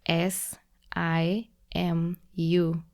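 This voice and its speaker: A young woman with a Kenyan accent, a podcast guest explaining things in a relaxed, teacherly way with frequent restarts. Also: fairly low pitch, extremely slow. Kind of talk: casual conversational explaining.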